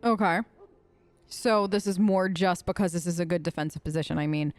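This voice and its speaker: A woman's voice: on the low side, brisk, soft background music. A woman hosting a gaming video, casually role-playing her character's side of the dialogue in a chatty, conversational way.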